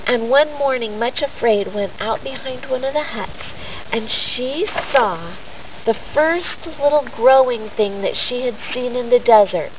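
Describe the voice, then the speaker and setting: A woman telling a story to children in a simple, gentle teaching way, with pauses. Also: high-pitched. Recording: roomy echo, dull sound, faint noise.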